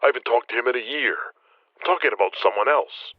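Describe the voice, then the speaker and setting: Man playing a husky wrestler on muffled call. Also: medium pitch, fast, no room sound, close to an everyday voice. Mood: earnest, reassuring. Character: friendly, encouraging male wrestler-stuntman on telephone. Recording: dull, muffled phone-call sound.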